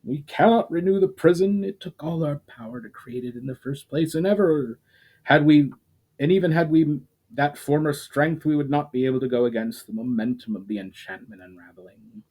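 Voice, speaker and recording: A man's voice: a little high, normal speed, mellow, pitched above the performer's own voice, close to an everyday voice, rough recording. A man voicing an ancient male elemental spirit, speaking solemnly in a storybook reading.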